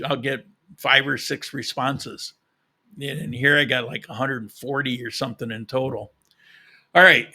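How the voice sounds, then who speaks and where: Gravelly man, opinionated and rambling. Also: mid-range voice, pushed and bright. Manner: opinionated, rambling with many restarts. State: losing his voice, short of breath. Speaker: vlogger. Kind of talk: casual chat.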